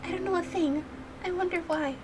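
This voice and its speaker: A woman's voice: extremely high pitch, brisk, background noise, voice pushed up. A woman voicing a timid, polite young woman in a visual novel game, earnest, anxious and hesitant. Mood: puzzled, worried. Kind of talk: voiced visual novel game dialogue read aloud.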